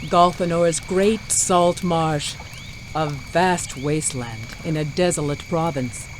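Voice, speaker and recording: A fantasy game narrator delivers scripted lore at a slow, deliberate pace. The voice sits at a middling pitch and tells it with drama. Noticeable noise and ambient game sound run behind the narration.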